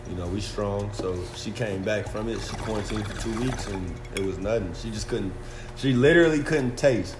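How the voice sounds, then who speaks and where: A 26-year-old man from San Francisco talking loudly and emphatically with slang. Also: fairly low pitch, normal speed, hardly any pauses, heavy background noise. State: drinking and smoking. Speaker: panelist. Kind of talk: casual chat. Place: indoor living room couch. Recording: roomy with music behind.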